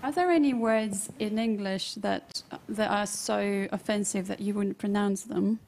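A woman's voice: mid-range voice, a little slow, vocal fry, a little mellow, a little hiss or hum. A woman whose first language is Russian, asking a lecturer a question from the audience, searching for words and repeating herself. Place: large lecture theatre audience.

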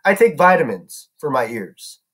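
A man's voice: fairly high pitch, sing-song, moderate pace, fairly bright tone, slightly rough, full character voice. Man reading a jittery boy sidekick: hesitant, reluctant delivery. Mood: nervous. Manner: hesitant, nervous.

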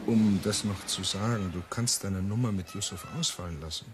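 A solicitous circus colleague played by a man in a radio drama. His voice is fairly low, with faint ambient noise around it. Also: moderate pace, lightly acted. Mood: concerned, pointed. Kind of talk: radio play acting.

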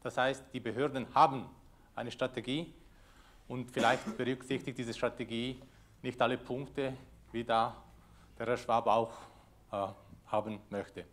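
A man's voice: medium pitch, steady tone, measured pace, pauses often, fairly bright tone. Man giving a talk. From Switzerland. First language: Italian. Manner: loose, hesitant delivery with many fillers. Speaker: conference speaker. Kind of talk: conference presentation. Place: lecture room with audience. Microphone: lectern microphone.

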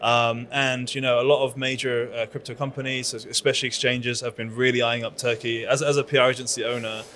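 A man's voice: mid-range voice, flat delivery, a little fast, fairly bright tone. A man in his thirties with a British accent, a conference founder talking eagerly in an interview, with plenty of fillers and restarts, into a handheld mic at a conference booth. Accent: British English. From London. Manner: chatty, enthusiastic, many fillers and restarts. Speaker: conference founder and PR agency owner. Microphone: handheld microphone.

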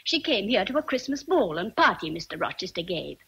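A woman's voice: mid-range voice, big pitch swings, brisk, creaky, pushed and bright, breathy edge, heavily acted. An actress playing a chatty, motherly housekeeper in a radio drama, savoring her words with emphasis. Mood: admiring. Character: gossipy, motherly housekeeper, respectful of rank. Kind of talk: vintage radio drama acting.

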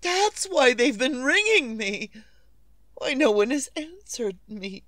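An actress playing a nervous, imperious, querulous wife in 1940s radio suspense style, melodramatic and demanding. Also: female voice, high voice, expressive, a bit bright, no room sound, studio quality, exaggerated voice. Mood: anxious, querulous. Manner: melodramatic, demanding, drawn-out delivery.

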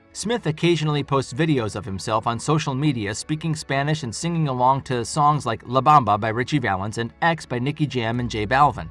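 A man's voice: mid-range voice, a bit bright. A man narrating a compilation video in a scripted, upbeat way.

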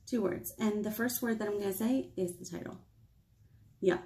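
An American woman in her thirties leading a picture-book storytime for small children, playful and expressive, asking them questions. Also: mid-range voice, moderate pace. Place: small indoor room with whiteboard.

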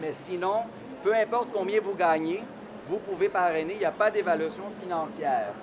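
A man's voice: high-pitched, normal speed, background noise. A middle-aged man with a Québécois French accent giving a conference talk on a handheld mic, explaining steadily with frequent hesitations. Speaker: immigration consultant. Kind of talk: conference presentation. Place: large exhibition hall with audience. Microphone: handheld microphone.

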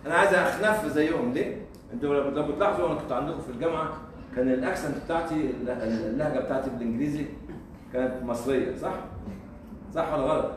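A man with an Egyptian Arabic accent giving a lively university lecture, teasing the audience with rhetorical questions. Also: fairly high pitch, rapid-fire. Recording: echoey hall from far off, faint noise.

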